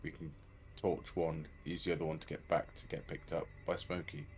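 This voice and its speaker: A man's voice: very deep voice, flat delivery, normal speed, darkish tone, faint music behind. A man playing a tabletop roleplaying game, casually talking through plans with the group.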